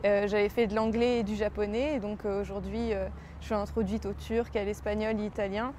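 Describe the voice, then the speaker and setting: Woman around 29, soft mellow tone, chatting in an interview, bit of noise behind. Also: medium pitch, flat delivery, a little fast. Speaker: design student on a work-study program. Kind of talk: casual interview testimony.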